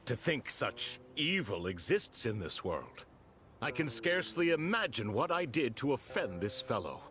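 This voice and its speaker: A man playing a genteel, formal merchant in a game, speaking politely and deliberately, aggrieved and guarded. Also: mid-range voice, very animated, pushed and bright. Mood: aggrieved, dismayed. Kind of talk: game character dialogue.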